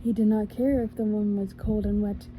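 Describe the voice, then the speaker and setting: A woman reading a fairy tale aloud in a gentle ASMR style, now and then stumbling and correcting herself. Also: medium pitch, level tone, fairly quick, slight background noise, low-quality recording. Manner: gentle, with small slips and self-corrections. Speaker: ASMR storyteller. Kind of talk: soft ASMR story reading.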